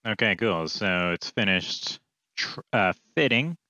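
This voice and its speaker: Low-voiced man, casual tutorial, dull mic. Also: expressive, a little slow, bright, forceful tone, dry recording, faint music behind. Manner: informal, offhand, thinking aloud. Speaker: coding tutorial presenter. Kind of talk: casual screencast walkthrough, explaining as he goes. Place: small indoor room, screen recording with webcam.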